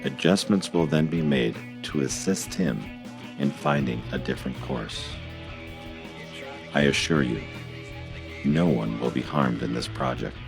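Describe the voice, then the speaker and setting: Man playing a very deep, gravelly future emissary. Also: over music, heavily acted. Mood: calm, assuring. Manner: measured, formal, deliberate. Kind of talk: scripted monologue in amateur radio drama.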